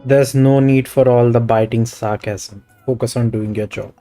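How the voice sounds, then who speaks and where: A woman's voice: extremely low pitch, fairly even intonation, normal speed, mellow. A woman with an Indian accent streaming a game, reading dialogue aloud and commenting casually in mixed Hindi and English. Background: game music.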